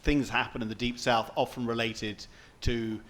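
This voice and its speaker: A man's voice: mid-range voice, fairly even intonation, moderate pace, a bit bright. A man moderating a press panel, asking follow-up questions with broken-off starts. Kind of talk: panel discussion.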